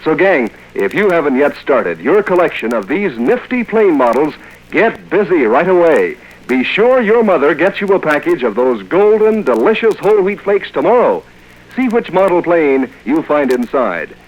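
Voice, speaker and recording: Animated high-pitched American radio announcer, male. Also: moderate pace. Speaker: announcer reading cereal ad. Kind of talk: 1940s radio announcing, scripted chummy pitch. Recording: dull, band-limited old radio show record.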